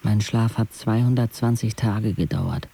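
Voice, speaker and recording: A man's voice: fairly low pitch, a little slow, gravelly, mellow, a little hiss or hum. An actor playing a sardonic paralysed teenage boy, narrating his life intimately in a dry, laconic way in a radio drama. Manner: dry, laconic, clipped phrases.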